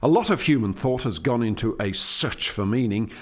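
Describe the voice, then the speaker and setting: A man's voice: medium pitch, animated, normal speed, natural voice. Man playing a reflective alien scientist, expressively intoned. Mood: reflective, regretful. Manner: explanatory, formal wording. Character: male alien scientist, reflective creator of humankind, earnest. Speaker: lead scientist. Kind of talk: radio play dialogue.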